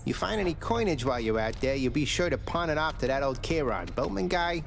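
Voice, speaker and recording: Sly underworld hustler, heavy fry, chummy, slangy, cheeky, video game, faint music. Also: male voice, fairly high pitch, animated, brisk. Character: cheeky, sly male tipster of the underworld lounge.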